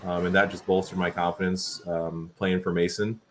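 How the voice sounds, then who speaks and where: A man's voice: low voice, flat delivery, normal speed, a little hiss or hum. A man in his thirties, an assistant volleyball coach, talking casually in an interview with many fillers and restarts. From Portugal.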